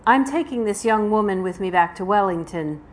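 A woman's voice: mid-range voice, expressive, fairly quick, natural voice. A woman voicing a guarded, plain-spoken old man in a live novel reading. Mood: explaining. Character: old male wagon driver, guarded, plain-spoken and protective. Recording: noisy live event room recording.

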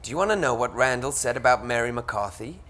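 A man's voice: medium pitch, lively intonation, fast, a bit bright, pitched below the performer's own voice, natural voice. A man voicing a camp, witty male literary critic with an arch, theatrical drawl. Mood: teasing.

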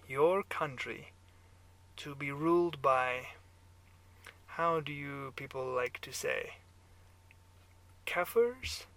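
A man playing a drunken, sneering German man in a bar, with a German accent and halting, taunting questions in a story reading. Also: on the high side, creaky, sharp tone, heavily acted. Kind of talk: dramatised character dialogue in a story reading.

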